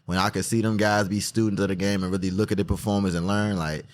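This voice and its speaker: A man's voice: fairly low pitch, steady tone, fast talker. A young man with a D.C.-area accent, a boxer talking laid-back and slangy in a casual interview. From Washington, D.C. area. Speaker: professional boxer, interview guest.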